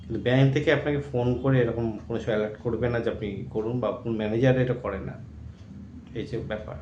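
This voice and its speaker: A man's voice: medium pitch, fairly even intonation, racing, darkish tone, muffled recording. A man with an Indian Bengali accent giving an informal, earnest public-awareness talk in a cautioning manner. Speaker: video creator warning about fraud. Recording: echoey room with faint noise.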